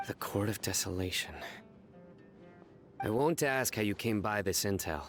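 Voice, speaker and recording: A low-voiced, coolly serious enforcer from an anime game, played by a man. Speech is measured and formal, with grave composure. Music plays faintly behind. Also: close to an everyday voice. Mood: grave, explanatory. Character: stern, composed young male desert enforcer, coolly formal. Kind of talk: anime video game voice acting.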